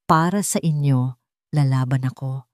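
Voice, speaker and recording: A woman's voice: very deep voice, unhurried, no room sound, studio quality, voice pushed down. A woman narrator voicing a frightened but determined mother in an audiobook drama. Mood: quiet resolve. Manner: trembling, defiant. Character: frightened but determined young widowed mother protecting her twins.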